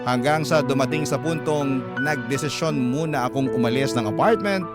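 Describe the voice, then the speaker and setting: A man narrating a love-letter confession for a radio drama, measured and earnest. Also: mid-range voice, close to an everyday voice. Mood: troubled. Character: letter writer recounting a troubled live-in romance. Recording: loud music bed behind.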